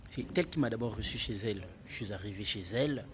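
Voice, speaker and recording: A man's voice: lowish voice, fast. Congolese-accented man storytelling over background noise. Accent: Congolese French. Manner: emphatic, repetitive, addressing listeners as buddies. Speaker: vlogger telling his own story. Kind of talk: casual personal storytelling to camera. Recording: clearly noisy ambient background.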